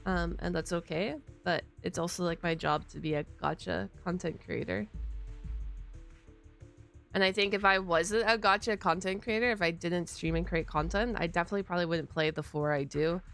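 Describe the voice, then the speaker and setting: A woman's voice: fairly low pitch, fairly even intonation, moderate pace, creaky, a bit bright. A woman game streamer giving casual, slangy live commentary in a conversational way. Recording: game music faintly behind the voice.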